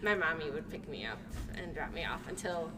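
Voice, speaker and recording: A woman's voice: sits low, animated, a little fast. A woman from Illinois co-hosting a casual podcast chat, laid-back and often giving brief replies. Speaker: podcast co-host, music teacher. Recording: echoey room from a distance with background noise.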